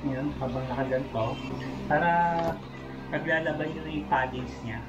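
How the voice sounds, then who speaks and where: Filipino man thinking aloud over loud music. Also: medium pitch, moderate pace, muffled recording. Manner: informal, thinking aloud in short fragments while working. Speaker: motovlogger showing a helmet modification. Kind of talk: casual hands-on tutorial chat to viewers.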